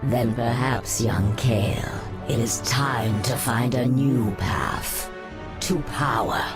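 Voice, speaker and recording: A woman's voice: a bass voice, slow pace. A woman playing a cunning, seductive serpent sorceress in a fantasy game, speaking in a formal, coaxing manner. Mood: tempting. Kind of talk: fantasy game cutscene dialogue. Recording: dramatic music behind.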